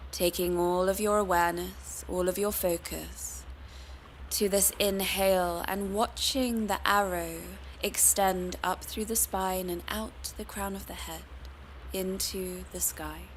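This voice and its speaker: Slow-paced woman guiding meditation. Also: medium pitch, slight background noise, rough recording. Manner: calm, soothing, unhurried instruction. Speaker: yoga meditation teacher. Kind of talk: guided meditation.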